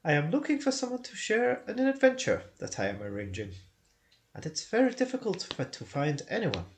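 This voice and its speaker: A man voicing an old male wizard, wise and gruff, speaking deliberately. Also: high voice, huge pitch swings, slight background noise, pitched above the performer's own voice, full character voice. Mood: brisk.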